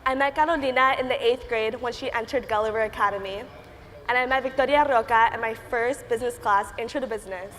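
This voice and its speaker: Teen woman, 18, from Miami, fairly high voice, friendly prepared talk, reverberant hall. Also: fast talker, a bit bright, slight background noise. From Miami, United States. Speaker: student entrepreneur speaker. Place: large conference hall stage. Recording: reverberant hall with faint ambience.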